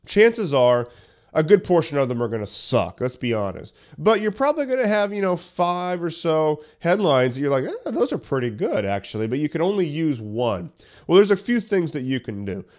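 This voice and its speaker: A man hosting a solo podcast tutorial, talking conversationally and a little hoarse while getting over a cough, walking listeners through tips step by step. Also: a little high, big pitch swings, moderate pace. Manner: conversational, explains step by step with questions. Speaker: podcast host. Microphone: studio podcast mic.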